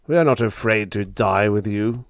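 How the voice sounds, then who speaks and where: Man doing a stuffy, firm old gentleman. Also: on the low side, normal speed, no room sound, studio quality, lightly acted. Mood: stern, resolute. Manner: staid, firm, formal. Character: stuffy, dignified old male academic, brave.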